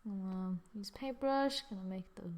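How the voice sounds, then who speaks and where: A woman hosting a casual drawing software tutorial, thinking aloud informally and often murmuring as she works. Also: medium pitch, measured pace. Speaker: tutorial host. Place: screen-recording voice-over.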